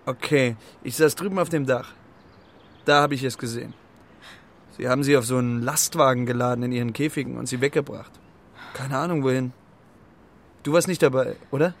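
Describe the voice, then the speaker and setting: An actor playing a cheeky, streetwise pigeon in a children's radio play, with a gravelly voice and a sardonic, colloquial swagger. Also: male voice, medium pitch, brisk, pauses often, natural voice. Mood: casual.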